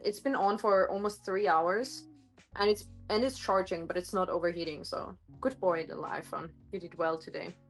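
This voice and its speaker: A woman streamer chatting casually in a home kitchen, her voice a little gruff today, rambling with lots of fillers and self-corrections, picked up by a phone microphone. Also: lowish voice, steady tone, normal speed, faint music behind, poor audio. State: slightly gruff voice. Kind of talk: casual live-stream chat. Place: home kitchen with hard countertops.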